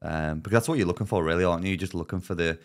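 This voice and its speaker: A man's voice: low-pitched, racing. A man in his thirties co-hosting a podcast, giving emphatic advice and restarting his sentences. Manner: opinionated, emphatic, repeats words when starting. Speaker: podcast co-host. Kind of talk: casual chat. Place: small podcast studio, indoors. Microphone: studio mic on arm, headphones.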